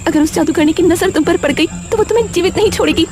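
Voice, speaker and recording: A woman's voice: very high-pitched, quick pace, pitched above the performer's own voice. A woman voicing a frightened, pleading young village girl, speaking anxiously and earnestly in a cartoon story. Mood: panicked.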